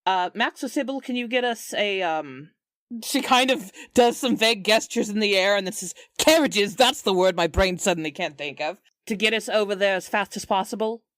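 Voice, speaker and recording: A woman's voice: mid-range voice, moderate pace, no echo. Woman roleplaying at a dry-sounding game table. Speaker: player in a tabletop roleplaying podcast. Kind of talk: casual roleplay and read-aloud narration.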